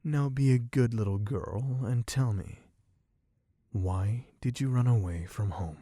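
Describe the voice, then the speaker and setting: A man playing a sadistic, possessive vampire in an ASMR roleplay, speaking in a taunting, condescending, deliberate way. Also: medium pitch, no echo, clean studio sound, lightly acted. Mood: mocking, probing. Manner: taunting, condescending, slow and deliberate with soft chuckles. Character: sadistic, possessive male vampire toying with human captive.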